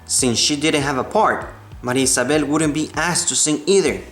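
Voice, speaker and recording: A man reading a children's book aloud in a measured way, stumbling now and then. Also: fairly high pitch, a bit bright. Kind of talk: storybook read-aloud. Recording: roomy echo, music behind the voice.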